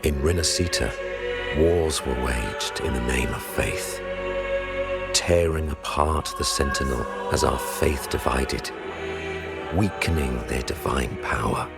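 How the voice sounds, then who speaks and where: Very deep, solemn religious leader. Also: male voice, takes it slowly, gravelly, full character voice. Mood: solemn, reverent. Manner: solemn, oratorical, formal diction. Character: grave, commanding male religious leader, solemn and zealous. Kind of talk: dramatic video game voice acting. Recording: loud orchestral music behind. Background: loud game music.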